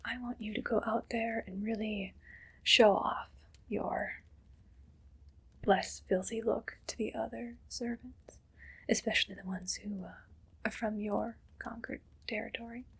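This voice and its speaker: A woman playing a haughty, condescending evil queen in a whispered ASMR roleplay, breathy and deliberate. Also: on the high side, fairly even intonation, heavily acted. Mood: smug, condescending. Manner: slow, deliberate, imperious and condescending.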